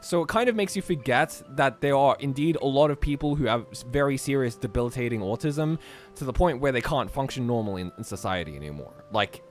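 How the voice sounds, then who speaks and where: A man's voice: medium pitch, lively intonation, brisk. A young adult man from Australia chatting casually on a game stream with friends and reading aloud. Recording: music behind the voice.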